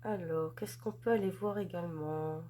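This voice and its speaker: A woman's voice: on the low side, moderate pace, mellow, a little hiss or hum. Chatty woman with a head cold. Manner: chatty, gushing, filler-heavy. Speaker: crafts channel host. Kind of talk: casual unboxing chat.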